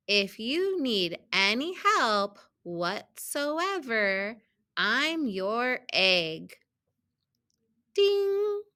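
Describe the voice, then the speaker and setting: A woman voicing an earnest, helpful, overburdened egg from a children's storybook, reading expressively and deliberately with emphatic exclamations. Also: mid-range voice, bright, forceful tone, clear, resonant tone, heavily acted. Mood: cheerful, proud. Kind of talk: children's picture book storytelling.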